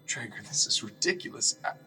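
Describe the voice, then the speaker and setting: An actor in a radio drama playing an anxious, obsessive nineteenth-century doctor who mutters haltingly to himself. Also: male voice, medium pitch, expressive, pushed and bright. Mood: agitated self-reproach. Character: agitated, obsessive 19th-century German male doctor. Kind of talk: radio drama acting, monologue and journal narration. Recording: distant miking, music underneath.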